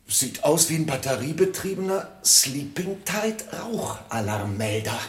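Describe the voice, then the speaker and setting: An actor playing an excitable, nervous sidekick with a jittery, exclamatory delivery in a German radio drama. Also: male voice, on the high side, unhurried, roomy sound. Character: Bucky, excitable, nervous gadget-spotting sidekick, man.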